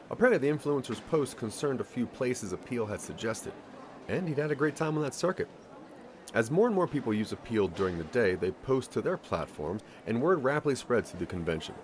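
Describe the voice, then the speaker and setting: A man's voice: mid-range voice, a little hiss or hum. A man narrating a scripted interactive audio story in the second person, with a theatrical storytelling delivery.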